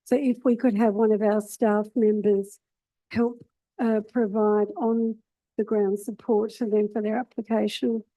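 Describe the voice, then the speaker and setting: A woman's voice: mid-range voice, flat delivery, a little slow, warm tone, low-quality recording. A woman from Australia, a councillor speaking in a council meeting debate, with a groggy voice and an earnest, hesitant manner.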